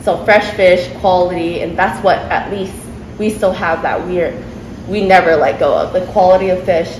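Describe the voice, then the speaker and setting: A woman talking casually in an interview about her family's sushi restaurant, chatty and quick to laugh, often restarting her sentences. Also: on the low side. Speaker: restaurant owner. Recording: echoey room with background noise.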